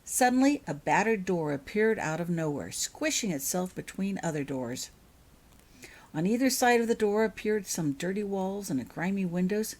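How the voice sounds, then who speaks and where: A woman narrating fiction as an author reading aloud, in an expressive storybook style. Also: medium pitch, moderate pace.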